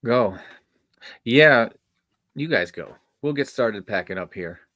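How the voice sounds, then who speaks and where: A man's voice: medium pitch, lively intonation, takes it slowly, a bit bright. An easygoing young male camp counselor, read by a man in a live horror script table read. He talks like a kindly brother, over an online call. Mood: gentle, low-key.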